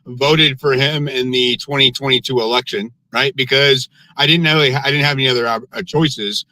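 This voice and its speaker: A man's voice: mid-range voice, steady tone, normal speed, no echo, poor audio. Man arguing hard in dry online audio. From United States. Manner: forceful, lecturing, repeats words when starting. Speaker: panelist in live audio space. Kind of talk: heated political argument.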